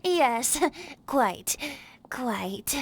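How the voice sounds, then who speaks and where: High-voiced, theatrical young bard. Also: female voice, sing-song, very slow, heavily acted. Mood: amused, theatrical. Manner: flowery, theatrical, grandiloquent. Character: theatrical young bard, playful and flowery-tongued. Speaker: traveling bard character. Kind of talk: animated video game character acting.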